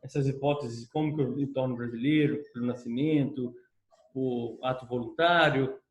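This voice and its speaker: A man with a Brazilian Portuguese accent giving a recorded law lecture, explaining step by step and checking in with his students. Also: on the high side. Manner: explanatory, repeats points with "tá?" check-ins. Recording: echoey room.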